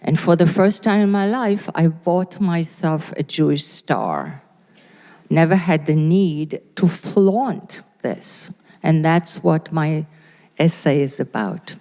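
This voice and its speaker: An older woman with an Israeli accent, a novelist speaking deliberately and haltingly on a live panel. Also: sits low, lively intonation, warm tone. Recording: hall reverb from a live event space.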